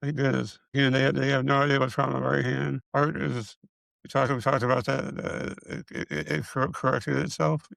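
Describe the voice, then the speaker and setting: Raspy, halting man with a gravelly voice. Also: medium pitch, flat delivery, a bit bright, no room sound, rough recording. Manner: halting, with frequent stutters and repeated syllables. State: short of breath, struggling to get words out. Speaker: video creator sharing his illness progress. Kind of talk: casual personal health update.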